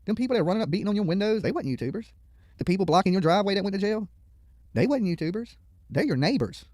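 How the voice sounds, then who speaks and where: A man's voice: sits high, sing-song, very fast, darkish tone, no echo, studio quality. A man hosting a livestream with casual, blunt, opinionated commentary and plenty of colloquial swearing. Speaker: YouTube livestream host. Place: studio.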